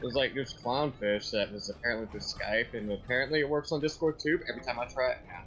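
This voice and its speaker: A man's voice: mid-range voice, fast, a bit bright, highs cut off, a little hiss or hum. Dry offhand man on a call. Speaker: stream guest. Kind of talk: casual chat.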